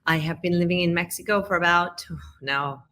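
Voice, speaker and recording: A woman's voice: fairly low pitch, normal speed, sharp tone, a little hiss or hum. A woman from Colombia, a real estate agent guest on a podcast, talking in a friendly, conversational way with frequent ums. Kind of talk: casual interview chat.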